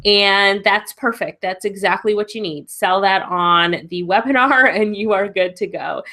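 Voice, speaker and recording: A woman's voice: mid-range voice, unhurried, pushed and bright, a little hiss or hum. A woman with a cold and a stuffy nose hosting a live webinar Q and A as a business coach, chatty and encouraging, laughing often. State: congested with a cold.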